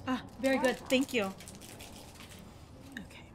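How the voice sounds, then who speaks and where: A woman's voice: high-pitched, steady tone, unhurried, a crowd in the background. Chatty young woman reviewing sushi. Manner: chatty, describes each bite. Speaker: food vlogger. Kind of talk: casual food review to camera. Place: restaurant patio, outdoors. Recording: noisy patio ambience.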